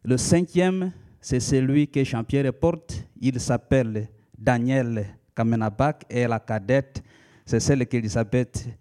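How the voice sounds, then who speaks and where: A man in his thirties from the Democratic Republic of the Congo, a native Lika speaker, preaching in a church in a measured teaching manner with frequent hesitations. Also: mid-range voice, mellow. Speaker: pastor. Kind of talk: sermon.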